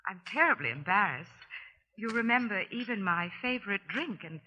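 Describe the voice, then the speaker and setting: Polite flustered society lady, 40s radio drama, piercing bright voice, tinny old recording. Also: mid-range voice, animated, moderate pace. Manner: polite, apologetic, embarrassed. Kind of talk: 1940s radio acting.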